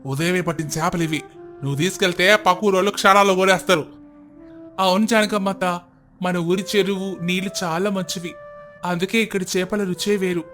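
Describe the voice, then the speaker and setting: Man playing a hearty, bright-voiced village fisherman. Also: high-pitched, steady tone, moderate pace, faint music behind. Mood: cheerful. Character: friendly, respectful village fisherman.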